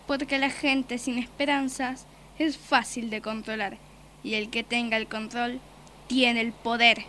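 A woman playing a menacing, mocking beast in a radio drama, with a forceful, biting voice and a taunting, commanding manner. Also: on the high side, level tone, a little slow, slight background noise. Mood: grim, scornful. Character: menacing beast, servant of the Nothing, mocking predator.

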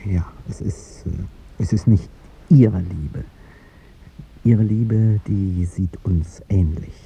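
An older man acting in a 1950s German radio drama as a gentle, aging president in love, speaking haltingly and courteously. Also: sits low, gravelly, slightly rough, exaggerated voice. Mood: wistful, pained. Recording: muffled 1950s radio recording, faint noise.

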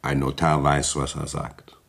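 Deep-voiced, courteous village notary. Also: male voice, monotone, measured pace, gravelly, a bit bright, breathy edge, exaggerated voice. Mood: matter-of-fact, self-assured. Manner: polite, formal, lawyerly wording. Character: courteous, talkative village notary, discreet yet gossipy. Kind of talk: German radio play acting.

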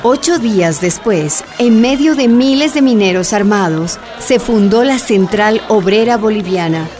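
A woman's voice: medium pitch, lively intonation, unhurried, darkish tone. A woman narrating a scripted radio drama.

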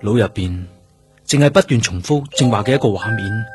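Actor as low-voiced brooding Hong Kong detective. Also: male voice, fairly quick, lightly acted. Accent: Hong Kong Cantonese. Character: brooding, grieving male police detective haunted by loss. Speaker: actor in a radio drama. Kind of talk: radio drama acting with first-person narration. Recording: music under the voice. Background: guitar music.

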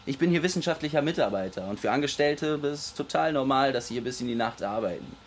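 An actor playing an easygoing young lab researcher who deals on the side, talking casually in a naturalistic radio drama. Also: male voice, mid-range voice, animated, fast, a little hiss or hum, close to an everyday voice. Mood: reassuring. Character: easygoing young male lab researcher dealing homemade speed. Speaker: research assistant.

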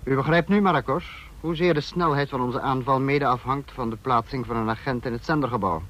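A male actor plays a calculating leader of a fascist state in a radio drama. He questions and weighs things with measured authority. The sound is dull, like an old broadcast, and his pitch sits in the middle. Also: a bit bright, a little hiss or hum, close to an everyday voice. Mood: stern, critical. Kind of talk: Dutch radio drama acting. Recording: dull vintage radio recording.

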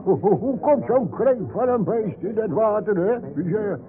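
An alarmed, grumbling villager in a radio comedy. The voice is high for a man, and the recording is noisy and narrow, like old radio. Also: animated, a little slow. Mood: alarmed, indignant.

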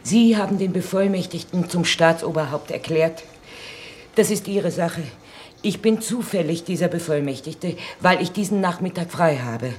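An actress playing a blunt, unsentimental nurse who reluctantly speaks for a government, curt and matter-of-fact in a 1950s radio play. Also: female voice, fairly low pitch, normal speed, a little mellow, close to an everyday voice. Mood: brusque, unimpressed. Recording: noisy 1950s radio recording.

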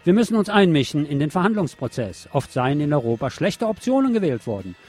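A man's voice: fairly high pitch, very animated, a little fast, darkish tone, breathy edge. Sardonic man reading news over music. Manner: sardonic, mocking asides. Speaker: radio show host. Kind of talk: reading news articles aloud with sardonic commentary.

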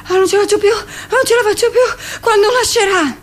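Shrill, wailing woman in labour. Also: a little fast. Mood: agonised pleading. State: crying, wailing. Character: suffering woman in labour, desperate and pleading.

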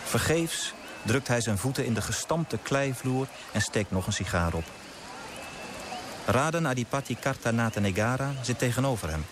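Radio play: man as earnest young storyteller, lively varied intonation, clear outdoor bustle behind. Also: medium pitch, moderate pace, background noise, natural voice. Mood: measured storytelling. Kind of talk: scripted literary narration in a radio drama.

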